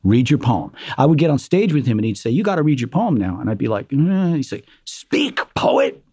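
A man being interviewed about his life as a musician, telling lively stories and slipping into the voices of the people he quotes. His tone is dark and mellow. The sound is dry and close. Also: medium pitch, a little fast, breathy edge, no echo. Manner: animated storyteller, acts out quotes, many fillers. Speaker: guest, musician. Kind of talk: conversational interview storytelling.

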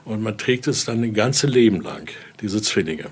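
A man with a lowish, husky voice leads an informal tour of an exhibition. He explains things as a storyteller would, often ending phrases with a brief questioning tag. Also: lively intonation, moderate pace. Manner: frequent question tags at ends of sentences. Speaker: guide and collector showing a Voodoo altar exhibition.

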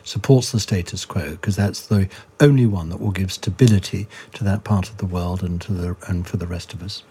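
A man guest on a political discussion podcast who speaks in a measured, deliberate way. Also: deep voice, dark tone, breathy edge. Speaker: guest commentator.

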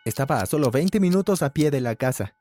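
A man narrating a scripted first-person story with animated delivery, shifting his pitch when he voices the characters. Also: a little fast, noisy background.

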